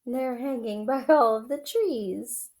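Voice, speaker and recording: A woman reading a children's picture book aloud expressively, drawing out phrases and adding simple asides for young listeners. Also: on the high side. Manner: expressive, drawn-out delivery. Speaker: storybook reader.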